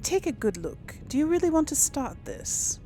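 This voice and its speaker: A woman's voice: sits high, very animated, moderate pace, warm tone. A woman hosting a casual role-play let's play, reacting and thinking aloud in a hesitant way. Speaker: gaming channel host. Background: game music and sounds.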